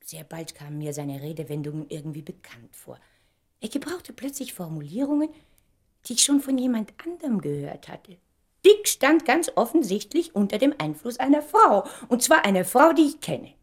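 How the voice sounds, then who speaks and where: A self-important young diva in a radio drama. Her delivery is highly animated, swinging widely in pitch, and full of wounded pride and theatrical indignation. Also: moderate pace, slightly rough. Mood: dramatic, brooding. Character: vain, theatrical, wounded stage star of twenty-nine. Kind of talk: German radio play acting.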